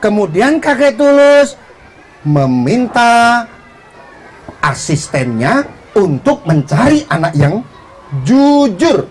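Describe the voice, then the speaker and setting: A woman's voice: a little high, sing-song, takes it slowly, long pauses, darkish tone. A woman storyteller performing live for children, theatrical and interactive, calling out to her audience. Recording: noisy live event with crowd.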